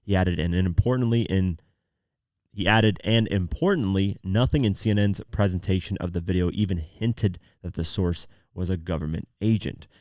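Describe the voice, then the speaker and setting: A man with a very low-pitched voice hosts a podcast, talking through the news in an informal way. His throat is sore, so the voice is not at full strength. The sound is close and dry, with very little room in it. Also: moderate pace, a little mellow, no room sound. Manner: informal, conversational, reads articles aloud then comments. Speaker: podcast host. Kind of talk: casual news commentary podcast.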